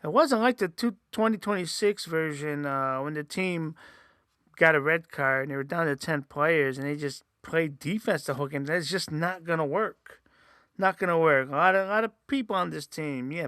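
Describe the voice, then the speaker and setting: Gravelly bright-voiced man rambling casually. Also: fairly high pitch, no echo, studio quality. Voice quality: says he sometimes sounds nasal. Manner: rambling, many fillers and restarts. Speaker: host. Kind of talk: casual solo review podcast.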